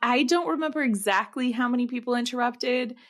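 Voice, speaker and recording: Female podcast co-host, higher register, informal and jokey, giggly delivery, conversational throughout. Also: fairly quick. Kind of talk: casual comedic chat.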